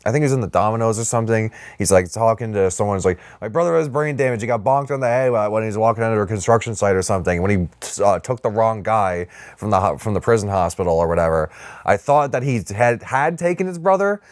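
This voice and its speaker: A man's voice: fairly low pitch, expressive, fast, clean tone, dry recording, clean studio sound. A man co-hosting a podcast, chatting casually about films in a rambling way with some swearing. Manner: rambling, profane, hedging with lots of "like". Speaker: podcast co-host discussing movies. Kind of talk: casual film chat.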